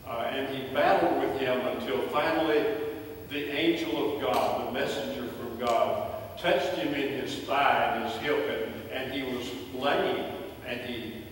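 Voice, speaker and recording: An older man preaching a measured sermon as a pastor, pausing often and restarting his phrases. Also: medium pitch, slight background noise. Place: church sanctuary, in front of a pulpit. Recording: very echoey church hall from far off.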